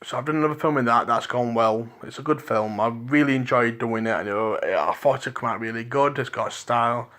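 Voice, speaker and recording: A man with a Liverpool accent hosting a rambling solo podcast, his voice dry and croaky, restarting his sentences again and again. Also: medium pitch, fairly quick, few pauses, bright, forceful tone. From England. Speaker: podcast host.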